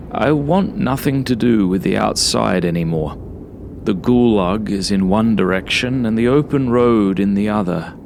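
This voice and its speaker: A man narrating a first-person horror story like a journal, brooding and sometimes hushed, in short halting phrases. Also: on the low side, vocal fry, noisy background. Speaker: author reading own horror story.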